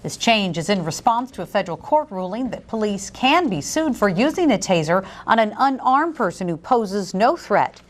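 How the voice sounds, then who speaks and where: Female TV anchor, American, medium pitch, scripted news read. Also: big pitch swings, normal speed, few pauses.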